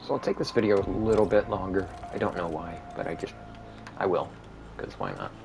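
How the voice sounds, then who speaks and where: A man giving rambling, monotone commentary while playing a video game, with many fillers. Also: sits low, fairly quick, gravelly. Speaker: gaming video creator. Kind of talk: casual gameplay commentary. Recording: steady background noise.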